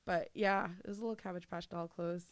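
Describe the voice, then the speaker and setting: A woman's voice: lowish voice, steady tone, fairly quick, no echo. A woman in her thirties from Maine, chatting casually as an interview guest and telling stories with plenty of "like" and swearing. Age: 30s. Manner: chatty storyteller, swears freely, lots of "like". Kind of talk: casual chat.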